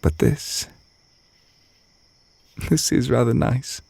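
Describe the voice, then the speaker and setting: A man acting a gentle, adoring witch boyfriend in an intimate ASMR roleplay, soft-spoken and tender with a light teasing manner. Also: mid-range voice, drawn-out words, gravelly, husky, no echo, full character voice. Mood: wistful, adoring.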